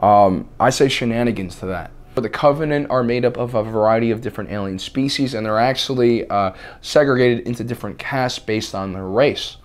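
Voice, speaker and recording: A man hosting a scripted video essay, with a slightly raspy voice and a deadpan, mock-serious delivery. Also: mid-range voice, brisk, hardly any pauses. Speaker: host.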